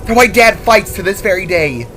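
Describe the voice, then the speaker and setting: Man as worried young woman, bitter, emphatic, halting, lively raised voice, audio story character. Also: sits high, faint music behind, voice pushed up. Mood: bitter, urgent. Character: worried, vehement young female cousin, protective and bitter.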